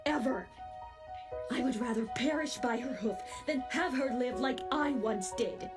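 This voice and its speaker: A resolute, protective cartoon pony princess, played by a woman, speaking firmly and solemnly in a fairly high voice. Loud music plays behind her. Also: a little slow. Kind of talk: animated fan drama voice acting.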